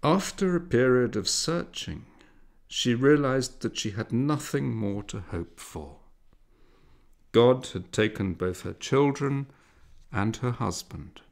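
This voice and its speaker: Guy reading a story out loud, takes his time, gravelly fry in the voice, dramatic emphasis. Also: medium pitch, animated, slow. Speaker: audiobook narrator. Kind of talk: scripted literary storytelling.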